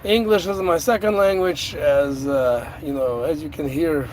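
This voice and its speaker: A middle-aged man from Ukraine, a native Russian speaker with a Russian accent, chatting casually on a live stream in slow, halting speech full of fillers and restarts, recorded on a phone in a vehicle. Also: fairly high pitch, darkish tone, a little hiss or hum, rough recording. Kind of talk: casual live-stream chat, reading out viewer comments. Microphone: phone without an external microphone.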